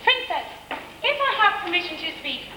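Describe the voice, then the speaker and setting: A woman's voice: very high-pitched, very animated, fairly quick, very noisy. A woman playing a cheeky, self-assured young man in a stage pantomime. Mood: musing. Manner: teasing, mock-regal. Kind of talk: amateur stage pantomime acting. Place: village hall stage, live performance. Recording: echoey hall stage recording.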